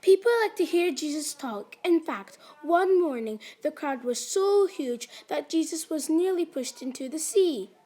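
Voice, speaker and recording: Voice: sits high, expressive, unhurried, few pauses, warm tone. A narrator reading a scripted story for a school puppet show.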